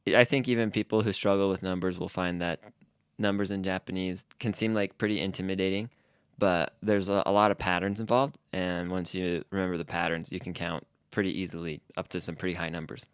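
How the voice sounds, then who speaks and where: A man's voice: low-pitched, steady tone, fairly quick, clear, resonant tone, no room sound, studio quality. A man co-hosting a casual podcast, explaining Japanese phrases in an easygoing, fluent way. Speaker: podcast co-host teaching Japanese. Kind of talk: casual language-lesson chat.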